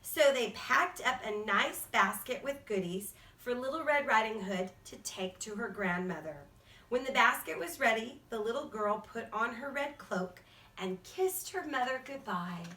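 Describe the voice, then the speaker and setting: A woman's voice: on the high side, lively intonation, unhurried, sharp tone. A woman narrating a fairy tale for children as a storyteller. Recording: echoey room, distant from mic.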